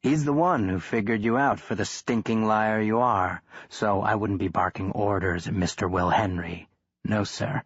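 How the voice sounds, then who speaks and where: A man voicing a large, cool-headed male monster hunter who talks in a dry lecturing monotone. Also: on the low side, moderate pace, husky, no room sound, highs cut off. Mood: taunting.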